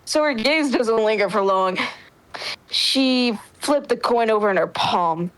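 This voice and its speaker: A woman with a very high-pitched voice reads story narration. She is casual and chatty, taking part in an informal dramatic reading. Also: animated, unhurried, smooth, dry recording, rough recording. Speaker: roleplay reader.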